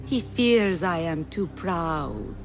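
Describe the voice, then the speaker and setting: An actress playing a proud, witty, defiant court poet in a video game, with a poetic, theatrical delivery. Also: female voice, lowish voice, lively intonation, takes it slowly, faint music behind. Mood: proud, wry. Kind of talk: dramatic video game acting.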